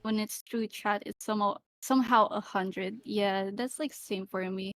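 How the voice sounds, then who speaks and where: A woman's voice: medium pitch, steady tone, normal speed, no room sound. A young woman from the Philippines with a light Filipino accent, co-hosting a casual podcast and talking chattily with many fillers. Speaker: podcast co-host. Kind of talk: casual chat.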